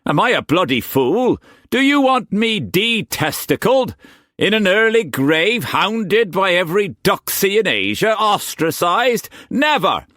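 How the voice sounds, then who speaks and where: Excitable old male painter; high, swooping, grandiose, in a dry booth. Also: a little slow, no echo, full character voice. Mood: outraged. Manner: expansive, exclamatory, grandly theatrical. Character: flamboyant little old male painter, theatrical and scrounging.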